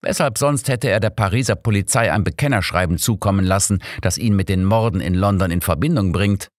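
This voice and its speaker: Man doing old master detective, calm, courteous, measured, audiobook figure, raised pitch, dry. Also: no room sound, close to an everyday voice. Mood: calm, pondering.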